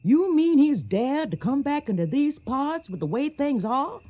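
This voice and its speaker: A woman's voice: high voice, very animated, unhurried, dark tone, muffled recording. An actress playing a protective, motherly older household mammy with a Southern US accent in a radio drama. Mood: scolding concern. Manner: scolding, motherly, folksy.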